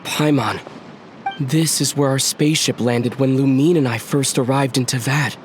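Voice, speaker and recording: A man voicing a calm, thoughtful young traveler recalling hazy memories in a game scene. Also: medium pitch, normal speed, background noise, close to an everyday voice. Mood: reflective. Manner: quiet, reflective.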